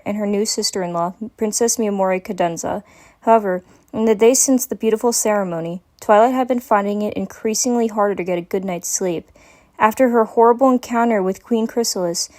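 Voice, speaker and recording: A woman's voice: mid-range voice, a little mellow. A woman giving a dramatic reading of a scripted story as its narrator.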